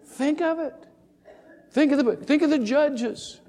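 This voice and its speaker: A man's voice: very high-pitched, slow pace, warm tone, in a large hall. A man preaching a sermon as pastor, telling stories in a folksy, joking way and briefly acting out the voices of the people he talks about. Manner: folksy, humorous storytelling with acted-out voices.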